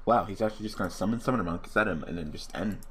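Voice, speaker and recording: A young man with a hoarse, worn-out voice commentating a card game online, swearing and ranting casually. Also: fairly low pitch, racing, slight background noise. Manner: profane, rambling, excitable rants. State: hoarse, losing his voice. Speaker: gaming channel host.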